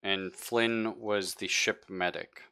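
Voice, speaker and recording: Man, deep bright voice, game master, casual tabletop game chat. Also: fairly even intonation, slow pace, clean tone.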